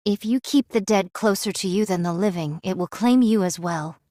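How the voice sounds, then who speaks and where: A woman's voice: mid-range voice, moderate pace, dark tone, dry recording, clean studio sound, close to an everyday voice. An actress voicing a stern, weary and stoic swordswoman in a video game, with formal, solemn phrasing. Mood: grave, stern. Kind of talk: dramatic game voice acting.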